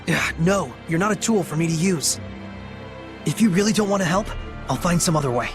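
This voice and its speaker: An actor playing an earnest, kindhearted young shepherd hero in a video game, speaking casually and sincerely. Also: male voice, on the high side, lively intonation, brisk, music in the background. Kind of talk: English video game dub acting.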